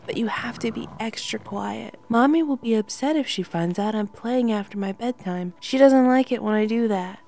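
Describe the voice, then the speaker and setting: A woman's voice: fairly high pitch, moderate pace, vocal fry, hardly any pauses, dark tone, voice pushed up. A woman doing a sweetly sinister little girl. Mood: coaxing. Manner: sing-song, coaxing, childish.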